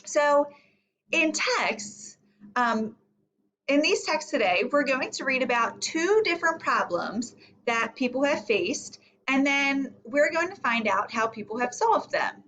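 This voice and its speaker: A woman's voice: sits high, big pitch swings, measured pace, fairly bright tone, a little hiss or hum. A woman teacher giving a read-aloud lesson to young children, thinking aloud and guiding them with questions. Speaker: elementary reading teacher.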